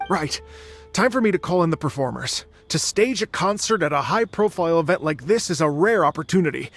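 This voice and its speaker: An actor voicing a gentle, earnest middle-aged male musician in a video game, polite and humble. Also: a little high.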